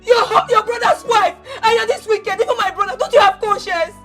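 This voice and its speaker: A woman plays a desperate young woman, begging her uncle through tears and breaking into shouts. Her voice sits very high. Music plays softly behind her. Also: steady tone, normal speed. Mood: accusing. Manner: crying, pleading, shouting. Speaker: actor.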